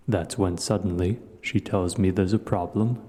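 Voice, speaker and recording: A huge, proud dragon, played by a man, speaks very low and dark in a long, cavernous reverb. He is measured and formal, a haughty, learned hoarder addressing his guest in a fantasy audio roleplay. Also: fairly even intonation, natural voice. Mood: reminiscing. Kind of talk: audio roleplay, scripted monologue to the listener.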